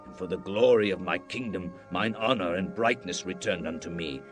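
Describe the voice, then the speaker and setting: A man's voice: low voice, normal speed, music in the background, lightly acted. An actor playing a proud, commanding Babylonian king in a solemn scripture dramatization, declaiming in a grand, stately way. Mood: reverent.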